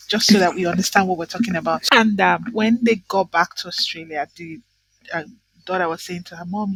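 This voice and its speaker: A woman's voice: lowish voice, fairly bright tone, rough recording. A woman from Nigeria in casual conversation, talking emphatically and often checking in with "right?" and "you know". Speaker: guest.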